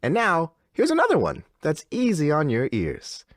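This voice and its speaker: An actor playing a relentlessly cheerful, slightly unsettling radio DJ, with theatrical and reassuring retro announcer patter. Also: male voice, on the high side, wildly swooping pitch, moderate pace, no room sound, clean studio sound. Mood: upbeat, reassuring.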